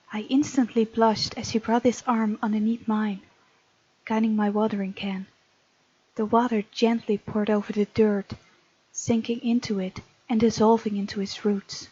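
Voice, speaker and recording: A woman's voice: medium pitch, little pitch movement, normal speed, warm tone, slightly rough, muffled recording. A woman hosting a gaming let's play, reading aloud and adding chatty, casual asides. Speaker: gaming video host.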